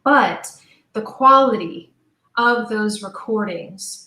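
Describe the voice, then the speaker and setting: A woman's voice: mid-range voice, takes it slowly, pushed and bright, echoey room. A woman speaking informally as an interview guest, thinking aloud as she explains her creative work in a chatty, conversational way. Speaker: audio drama writer and director, interview guest.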